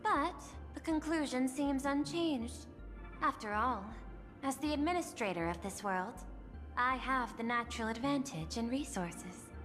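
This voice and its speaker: A woman playing a composed, calculating android executive in a video game, speaking in a measured, formal, articulate way. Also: high voice. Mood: composed, analytical. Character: composed, calculating female android executive and art-seeking administrator. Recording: long reverb, music behind the voice.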